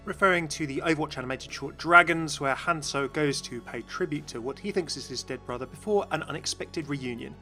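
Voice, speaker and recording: A man's voice: on the high side, a little fast, few pauses, pushed and bright, faint music behind. A man giving upbeat, informative explanatory commentary as narrator of a video game channel, recorded close on mic.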